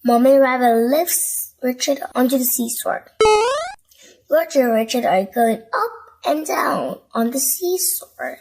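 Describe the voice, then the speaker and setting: A woman with a high, slightly creaky voice reads a children's story. She takes her time, expressive and clearly addressing little listeners. There is faint noise in the recording. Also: takes it slowly, poor audio. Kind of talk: scripted read-aloud bedtime story narration.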